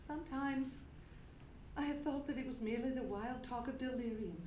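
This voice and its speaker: A woman acting as a grieving, fearful young gentlewoman in an old-time radio mystery. Her voice is very high and her storytelling formal and theatrical. The live stage recording is reverberant, with clear background noise. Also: moderate pace, lightly acted. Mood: sombre, earnest.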